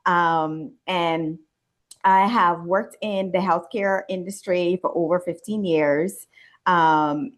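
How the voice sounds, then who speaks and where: Woman, forties, from the Bahamas, interview guest, lowish voice, slow conversational storytelling. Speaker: interview guest, wellness business owner and nurse.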